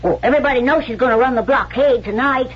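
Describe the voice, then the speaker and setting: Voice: sits high, quick pace, breathy edge, soft background music. A performer playing an earnest, pleading twelve-year-old orphan cabin boy in a radio drama, hesitant, eager and folksy. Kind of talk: 1970s radio drama acting. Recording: muffled vintage radio recording with hiss.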